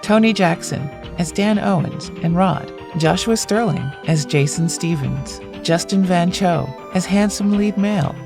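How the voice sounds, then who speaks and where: A woman's voice: on the low side, unhurried, hardly any pauses. A woman reading scripted end credits for an audio drama as its announcer. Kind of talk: scripted narration. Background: music.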